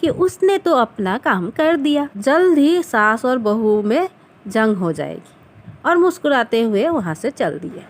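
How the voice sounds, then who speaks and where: A woman storyteller reads a scripted domestic tale. Her voice sits high in pitch, and she takes the lines slowly. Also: sing-song. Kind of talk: scripted narration of a family moral story.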